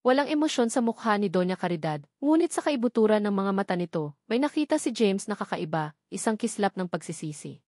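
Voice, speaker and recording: Female narrator, dramatic audiobook, solemn and measured, medium pitch, very dry close sound. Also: very animated, mellow, no room sound. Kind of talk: scripted dramatic story narration.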